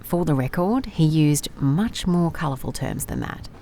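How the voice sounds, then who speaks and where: A woman's voice: low voice, animated, measured pace, mellow, slight background noise. A woman playing a wry, methodical private investigator in an audio drama, musing and dictating case notes in a dry manner. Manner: dry, musing, clipped note-taking. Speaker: lead actor in audio drama. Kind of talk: first-person audio drama case log.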